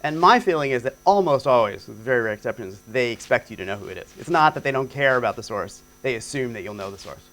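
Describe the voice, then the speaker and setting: A man's voice: mid-range voice, lively intonation, quick pace, few pauses, fairly bright tone, faint music behind. A middle-aged man giving an academic lecture and answering questions, thinking aloud with fillers, on a lapel mic in a lecture hall. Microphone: lapel mic at a lectern.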